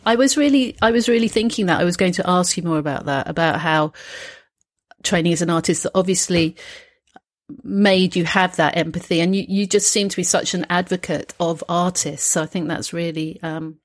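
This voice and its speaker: A woman's voice: on the low side, moderate pace. A woman hosting a panel interview, praising her guests and asking rambling questions with fillers. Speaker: interview host.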